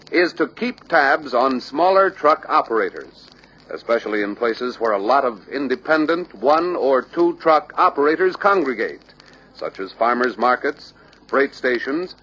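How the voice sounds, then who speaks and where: A man reading scripted instructional narration for a sales training film, deliberate and emphatic. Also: fairly high pitch, pushed and bright, slight background noise. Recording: dull lo-fi old training film soundtrack.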